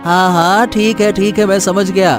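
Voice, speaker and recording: A man's voice: high voice, steady tone, normal speed, music in the background, close to an everyday voice. A man playing a sulky younger brother. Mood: sulky, resentful. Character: sulky younger brother, aspiring painter, feels unloved.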